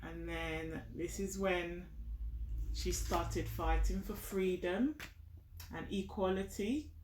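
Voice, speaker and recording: Mum reading a kids book out loud, teachery, explains stuff, roomy echo, bit far from the mic. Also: female voice, on the low side, slow, a little hiss or hum. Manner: teacherly, checks understanding with questions and repeats key phrases. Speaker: mother reading aloud to her child. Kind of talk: children's picture book read-aloud with explanations.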